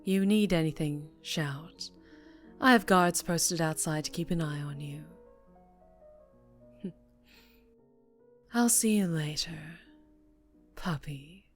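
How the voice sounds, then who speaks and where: Woman acting a slow, low-voiced, menacing female mafia boss. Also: faint music behind. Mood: teasing, dominant. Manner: slow, commanding, condescending, often dropping to breathy murmurs. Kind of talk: ASMR roleplay acting.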